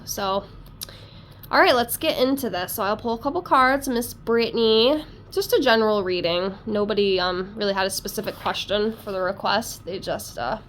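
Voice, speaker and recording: A woman's voice: mid-range voice, normal speed, a bit bright, slight background noise. A woman with a congested, stuffy voice from a cold, chatting casually and rambling as she reads tarot cards. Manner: rambling, talkative, self-deprecating. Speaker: tarot reader.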